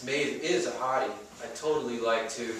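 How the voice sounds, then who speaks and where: A man voicing a crude, excitable ninth-grade boy who talks in mocking slang, in a storybook reading. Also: mid-range voice, normal speed, recorded from a distance, lightly acted. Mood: teasing, crude. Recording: echoey room from afar, faint noise.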